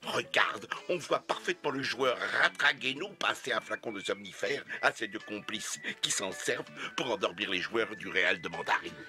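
A man's voice: lowish voice, lively intonation, moderate pace, hardly any pauses, pushed and bright, faint music behind. A man voicing a learned, earnest owl schoolmaster in a cartoon, speaking formally as he explains. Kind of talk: cartoon dubbing.

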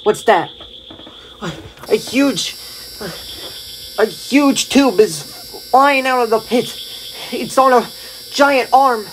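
A performer playing an excitable, stammering field reporter in a 1930s-style radio drama, urgent and awed. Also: medium pitch, slow pace, long pauses, close to an everyday voice, low-quality recording. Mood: alarmed. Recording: crowd noise behind.

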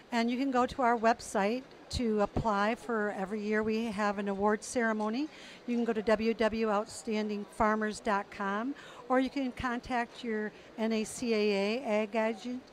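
A woman's voice: on the high side, fairly even intonation, normal speed. A middle-aged woman answering interview questions about an awards program, informative with some fillers, on a handheld mic in an exhibition hall. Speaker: program representative.

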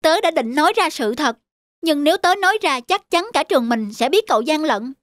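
A woman's voice: sits high, animated, fairly quick, dry recording, clean studio sound. A woman voicing an earnest, loyal schoolboy in a cartoon, with a sincere way of talking. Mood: hurt, sincere. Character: earnest, loyal schoolboy, hurt but forgiving. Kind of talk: cartoon dubbing. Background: prominent music.

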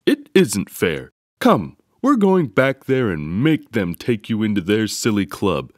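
A man voicing a hearty, excitable father bear in a storybook, with an emphatic, booming delivery. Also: measured pace, no echo, clean studio sound. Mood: indignant, roaring. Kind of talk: storybook read-aloud character voice.

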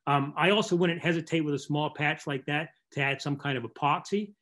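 An older man giving an informal slideshow talk over a video call, explaining in a halting way and often repeating words. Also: a little high, fairly bright tone. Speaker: barn restoration contractor giving a lecture. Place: indoor room, on a video call.

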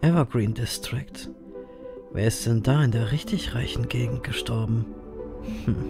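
An actor playing a calm, laconic ferryman hero, telling and acting a noir audio drama. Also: medium pitch, a little slow, over loud music, natural voice. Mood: brooding narration. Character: male grim-reaper ferryman, laconic city noir hero.

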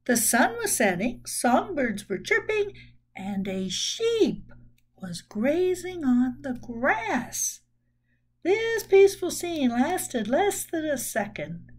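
An older woman in her sixties reads a children's book aloud as a storyteller, expressive and deliberate. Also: high-pitched. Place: small room at home.

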